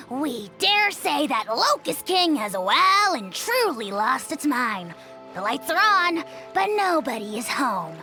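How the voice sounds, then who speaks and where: A woman's voice: high-pitched, very animated, slow, bright, forceful tone, soft background music, heavily acted. A woman voicing a pompous, mocking nonhuman being who looks down on humans, in an anime game. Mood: smug, mocking. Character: haughty, morbid nonhuman being scornful of humans.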